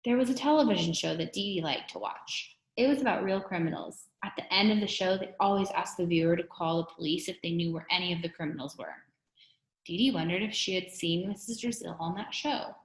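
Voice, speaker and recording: A woman's voice: lowish voice, brisk. A woman reading a children's story aloud in a storytelling style. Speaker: reader of a children's book. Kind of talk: read-aloud storytelling with character voices. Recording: echoey room.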